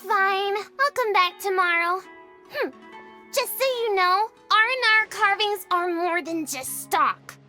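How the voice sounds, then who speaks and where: A woman's voice: very high voice, lively intonation, slow, pushed and bright, heavily acted. A woman voicing a stubborn, pouty child in a video game, insistent and huffy. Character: stubborn, pouty child who loves collecting carvings. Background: music.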